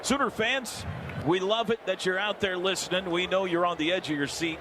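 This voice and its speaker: A man's voice: sits high, moderate pace. An American man calling a football game live on the radio as play-by-play announcer from a stadium booth. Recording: loud stadium crowd and booth noise.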